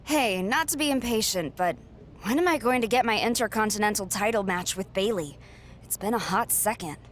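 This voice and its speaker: A woman's voice: mid-range voice, animated, a little fast, slight background noise, lightly acted. An actress playing a confident, sassy young woman wrestler in a video game cutscene, cocky and blunt. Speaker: wrestler character.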